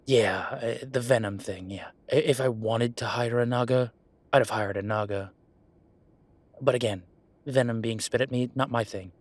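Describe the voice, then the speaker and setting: Husky, sarcastic merman shop owner, played by a man. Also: medium pitch, lightly acted. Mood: irritated, sardonic. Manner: halting, flippant, conversational. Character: gruff, sarcastic merman tattoo shop owner with a protective streak. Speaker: voice actor in an audio roleplay. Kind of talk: naturalistic one-sided audio roleplay.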